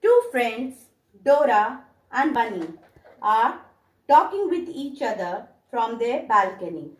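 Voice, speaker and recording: A woman hosting a children's puppet show, narrating in a deliberate, expressive way. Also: on the high side, distant mic. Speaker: storyteller and puppet show host. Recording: echoey room.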